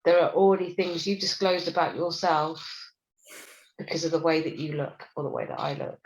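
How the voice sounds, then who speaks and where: Reflective London woman, lower voice. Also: fairly even intonation, normal speed, low-quality recording. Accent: London English. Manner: reflective, thinks aloud, trails off quietly. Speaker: music therapist. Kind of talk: conversational discussion.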